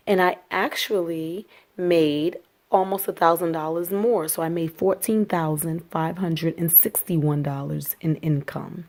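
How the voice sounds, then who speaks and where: Casual vlogger, woman, a little hoarse from a cold, upbeat and talky, lower pitch, recorded on a camera mic indoors. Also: darkish tone. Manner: chatty, upbeat, talks to viewers as y'all. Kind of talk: casual budget vlog. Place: small rented apartment indoors.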